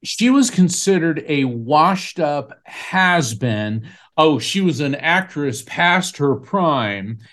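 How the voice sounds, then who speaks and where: A man, a psychic medium, hosting a call-in show and speaking emphatically in a lively storytelling way. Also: fairly high pitch, slow pace. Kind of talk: call-in radio show chat.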